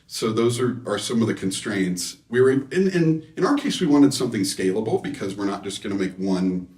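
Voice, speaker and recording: Low-voiced man explaining, in an echoey room. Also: lively intonation, a little fast, a little mellow. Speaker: loudspeaker designer being interviewed. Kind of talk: casual technical interview talk. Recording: echoey room, distant microphone.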